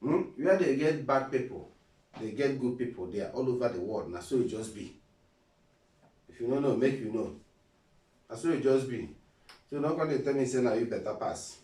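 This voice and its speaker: A man's voice: medium pitch, expressive, normal speed, long pauses, mellow, far from the mic. A Nigerian man with an Igbo accent giving a casual motivational talk as a vlogger, preachy, repeating phrases and asking rhetorical questions. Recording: roomy and a bit off-mic.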